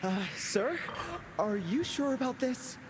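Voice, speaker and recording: An actor playing a nerdy, nervous young inventor sidekick, chatty, bookish and deferential. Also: male voice, very high voice, lively intonation, slow pace, in a large hall, noisy background, full character voice. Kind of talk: video game cutscene acting.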